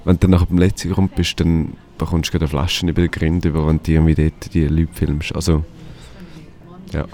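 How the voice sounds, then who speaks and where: Very deep, mellow-voiced man. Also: level tone, a little fast. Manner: rambling, many restarts. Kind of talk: casual conversation.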